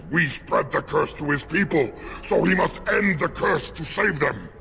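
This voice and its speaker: A snarling beast servant in a fantasy game, fierce and loyal. The growling voice is gravelly and forceful, ringing with long reverb. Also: male voice, on the high side, expressive, unhurried, exaggerated voice. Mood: fierce, indignant. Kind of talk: dramatic fantasy game acting.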